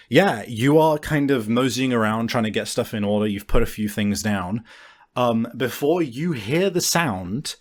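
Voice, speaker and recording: A man narrating a tabletop game as game master in a casual, sweary, improvised way. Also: fairly low pitch.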